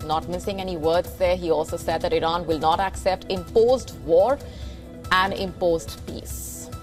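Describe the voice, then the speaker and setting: A woman anchoring live news, brisk, slipping into fillers when she ad-libs her questions. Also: lowish voice, fairly even intonation, faint music behind.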